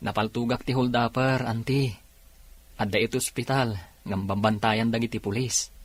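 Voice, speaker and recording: A man's voice: mid-range voice, steady tone, moderate pace, no room sound, close to an everyday voice. A man acting in a radio drama as an earnest, devoted young policeman.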